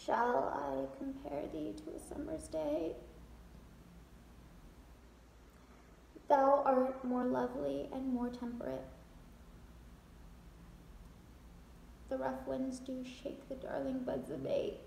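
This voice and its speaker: High-pitched desperate wife acted by a woman, echoey room. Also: level tone, unhurried, vocal fry, few pauses, a little mellow, slight background noise, heavily acted. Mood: tender, reciting. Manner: emphatic, theatrical, addressing her husband directly. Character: desperate, wounded wife fighting her divorce, defiantly joking. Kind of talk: dramatic theatrical monologue.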